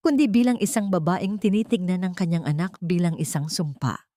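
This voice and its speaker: A woman with a fairly low voice narrates a dramatic story. Her delivery is solemn and deliberate, with formal, emotive phrasing. The sound is close and dry, without room reflections. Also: darkish tone, no echo.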